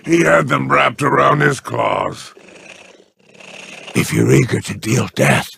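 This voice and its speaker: An actor playing a sly alien spider crime lord in a video game, with a growling, gravelly voice and an oily, mock-courteous menace. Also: male voice, medium pitch, takes it slowly, pushed and bright, a little hiss or hum, heavily acted. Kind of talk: video game character voice lines.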